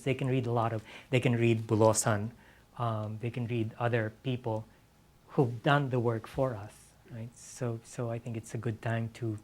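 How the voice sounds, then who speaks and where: A man from the Philippines answering as a novelist on a discussion panel, halting and thoughtful, often repeating words as he reflects. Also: medium pitch, a little mellow. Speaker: novelist being interviewed on a panel.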